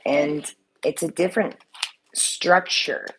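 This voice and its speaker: A woman's voice: low voice, slow pace, fairly bright tone, a little hiss or hum, poor audio. A woman with a slight cold chatting casually at home, rambling and swearing freely. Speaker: vlogger, soap maker. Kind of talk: casual chat to camera. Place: at home, living room in view.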